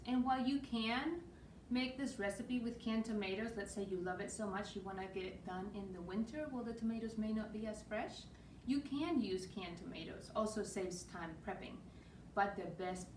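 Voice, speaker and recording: Woman, Spanish accent, storytime reader, lively rhythmic reading, distant camera, roomy echo. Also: mid-range voice. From Spain. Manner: lively, rhythmic read-aloud with Spanish words. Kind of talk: reading a picture book aloud. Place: small room indoors. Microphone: far camera. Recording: roomy, distant, faint hiss.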